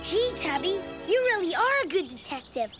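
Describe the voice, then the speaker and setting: A woman's voice: very high voice, big pitch swings, normal speed. A woman voicing a sweet, eager little girl in a children's cartoon, earnest and gushing. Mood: grateful, earnest. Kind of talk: animated children's cartoon acting. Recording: loud music under the dialogue.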